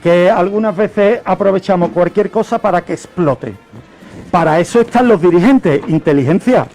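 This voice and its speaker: A man's voice: high voice, normal speed, a little mellow. A man presenting a history segment on the radio, telling it like an emphatic lecture full of rhetorical questions and repetition.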